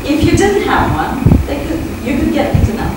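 A middle-aged woman reads a personal memoir aloud in a measured, deliberate way, pausing between phrases. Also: high-pitched, very animated. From Ukraine. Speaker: author reading at a literary event. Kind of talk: literary reading of a personal memoir. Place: indoor theatre stage. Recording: very echoey, distant, loud background noise.

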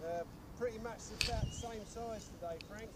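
A man's voice: high-pitched, normal speed, heavy background noise. A man fishing on a riverbank, trading dry, teasing remarks with his friends, heard from a few metres away. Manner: dry teasing, mock-complaining. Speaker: fishing companion. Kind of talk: casual banter. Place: outdoors on a city riverbank.

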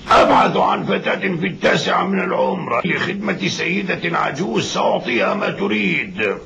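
A man's voice: sits high, animated, moderate pace, gravelly, dull audio, a little hiss or hum, exaggerated voice. An actor playing a stern middle-aged man seeking a servant, proclaiming in a cartoon. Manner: loud proclaiming. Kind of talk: cartoon dub acting.

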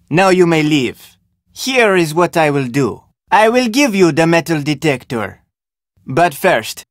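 A man's voice: fairly high pitch, no echo, studio quality. An actor playing a terse, suspicious, solitary fisherman with a Jamaican accent in a video game, speaking in formal, clipped phrases. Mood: measured, bargaining. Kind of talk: video game character dialogue.

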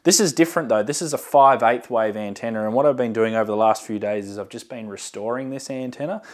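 A man's voice: medium pitch, quick pace. Casual man presenting a hands-on show-and-tell. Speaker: amateur radio hobbyist and channel host. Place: indoor workshop storeroom with shelving. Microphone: lapel mic.

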